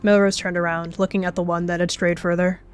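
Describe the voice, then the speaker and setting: Female roleplay narrator, slightly low pitch, storytelling delivery, light music bed. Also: flat delivery, a little fast.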